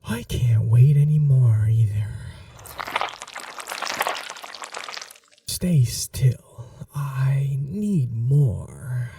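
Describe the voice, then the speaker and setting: A woman playing a possessive, seductive vampire noblewoman in an intimate ASMR roleplay, speaking softly and breathily, often whispering, with a languid, coaxing manner. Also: very deep voice, creaky, far from the mic, noisy background, exaggerated voice. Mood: hungry, teasing. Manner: slow, languid, teasing and coaxing.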